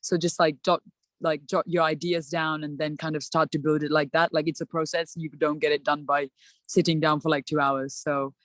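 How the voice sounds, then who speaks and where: A woman's voice: sits low, little pitch movement, normal speed, fairly bright tone, no echo. A young woman from Sri Lanka, a student panelist, giving casual advice in a chatty way over a video call, full of "like" and "um". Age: young adult. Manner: chatty, many fillers and "like".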